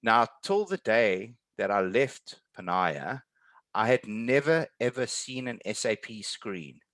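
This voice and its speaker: A man's voice: fairly low pitch, lively intonation, slow pace, fairly bright tone, no echo. A man speaking as a panelist in an online webinar, giving earnest, encouraging and emphatic career advice. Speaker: webinar panelist, tech sales professional.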